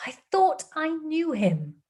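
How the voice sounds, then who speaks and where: A woman reading an audiobook, voicing an anxious, gentle older woman who breaks startling news in a loud, trembling voice. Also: high-pitched, sing-song, takes it slowly, pitched above the performer's own voice, full character voice. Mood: earnest.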